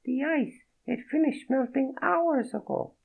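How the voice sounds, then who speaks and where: A coy, tipsy young Frenchwoman with a French accent, slurring a little in a high, airy register far above the reader's own, as a male audiobook narrator puts her on. The sound is thin and tinny, like a phone line. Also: measured pace, creaky, exaggerated voice. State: drunk, slurring.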